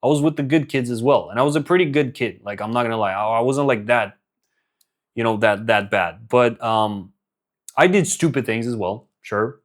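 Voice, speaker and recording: A man's voice: mid-range voice, normal speed. Earnest young man from Romania, coaching. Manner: earnest, repetitive, many fillers and restarts. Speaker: business coach. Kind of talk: casual motivational talk to camera.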